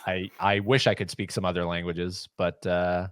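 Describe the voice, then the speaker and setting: A man's voice: low voice, little pitch movement, moderate pace, a bit bright, no room sound. A man hosting a podcast over a video call, easygoing, laughing a lot and stammering at times. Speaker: podcast host.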